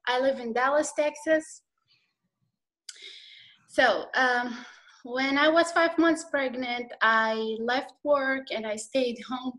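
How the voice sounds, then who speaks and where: A woman's voice: high voice, slow, long pauses, a bit bright, rough recording. A woman with an Iraqi accent telling her personal story as a guest on a video call, hesitant and slightly nervous, with many fillers. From Iraq.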